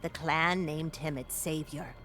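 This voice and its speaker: A woman's voice: low voice, a little slow, a bit bright, a little hiss or hum. A woman playing a solemn clan priestess in a fantasy game, with a ceremonial, incantatory delivery. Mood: solemn reverence.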